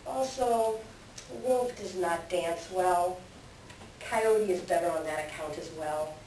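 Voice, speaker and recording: A woman voicing a wise, patient male eagle elder in a readers theater, measured in the way a storyteller reasons. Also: on the low side, dark tone. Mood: patient, reasoning. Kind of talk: readers theater stage reading. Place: library room, recorded from a distance. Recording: very echoey library room, distant, hissy.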